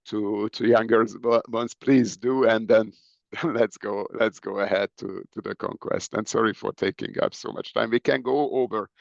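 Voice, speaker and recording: A low-voiced man with a gravelly, creaky edge talks in halting bursts, doubling back on his words. His voice comes through a muffled video call and sounds dry and close, with no room around it. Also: no room sound. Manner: halting, repeats words often. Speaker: discussant at an online seminar. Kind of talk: spoken remarks to a speaker.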